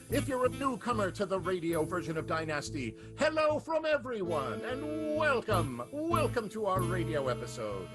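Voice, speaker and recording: A man narrating and hosting a comic radio show with a wry, theatrical announcer delivery. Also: sits high. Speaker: narrator and host.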